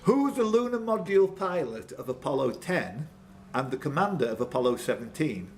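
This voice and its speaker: A man's voice: on the high side, very animated, brisk. A man working as a quizmaster, reading questions live with informal teasing banter and stumbling self-corrections. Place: indoors at a pub quiz tournament.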